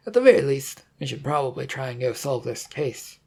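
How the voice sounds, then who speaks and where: Husky, uneasy detective suspect, acted by a narrator. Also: medium pitch, sing-song, a little fast, a little mellow, full character voice. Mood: impatient.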